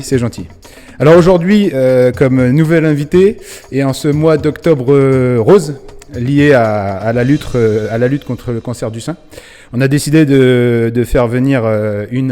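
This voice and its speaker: A man's voice: medium pitch, moderate pace, dark tone. A man hosting a club channel interview, friendly and hesitant, with many fillers. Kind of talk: casual interview. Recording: reverberant room.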